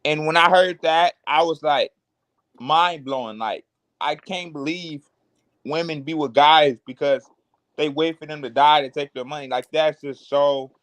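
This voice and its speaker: A man's voice: on the high side, unhurried, fairly bright tone, no room sound. A man in his early twenties from Cleveland, Ohio, talking in a laid-back, slangy way in casual group chat. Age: 20s. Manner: laid-back, filler-heavy slang talk.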